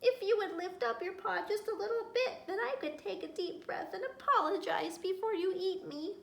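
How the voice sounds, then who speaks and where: A woman voicing a cheeky little male squirrel with a squeaky, chirping voice. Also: normal speed, vocal fry. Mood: pleading. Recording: echoey room.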